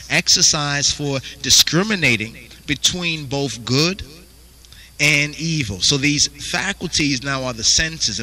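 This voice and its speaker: A man's voice: fairly high pitch, pushed and bright, poor audio. A man teaching a Bible study, reading verses aloud and asking "you understand?" after nearly every phrase. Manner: repeats "you understand?" constantly.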